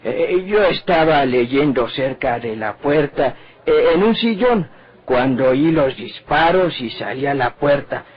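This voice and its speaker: A man playing a deferential, halting, obliging character in an old Mexican radio drama. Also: medium pitch, lively intonation, breathy edge. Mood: nervous, respectful. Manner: halting, courteous. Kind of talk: golden-age Mexican radio drama acting. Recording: dull vintage radio recording.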